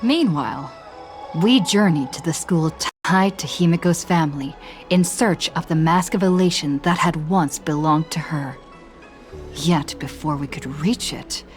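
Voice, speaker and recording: A low-voiced traveler recapping her journey. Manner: measured, even storytelling delivery. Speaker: story recap narrator. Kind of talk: scripted game story recap narration. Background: faint background music.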